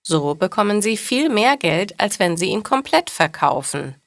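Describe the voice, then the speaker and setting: A woman's voice: medium pitch, big pitch swings, normal speed, fairly bright tone, dry recording, pitched above the performer's own voice. A woman voicing a practical, helpful male car mechanic in a storybook, in emphatic, explanatory dialogue.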